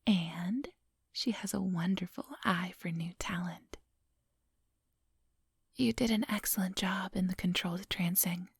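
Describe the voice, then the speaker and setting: A woman playing a centuries-old vampire boss in an ASMR roleplay, whispery and breathy, speaking close to the microphone in a silky, patronizing, quietly menacing manner. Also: fairly low pitch, fairly even intonation, no room sound, exaggerated voice. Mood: smooth, appraising. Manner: slow, unhurried, silky and patronizing.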